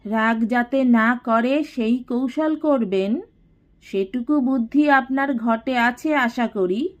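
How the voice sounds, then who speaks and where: A woman's voice: on the high side, slow. A woman voicing a haughty, scheming older matriarch in an audio story, imperious and sarcastic. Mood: sly, insinuating. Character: haughty, scheming, domineering elder matriarch of a declining household.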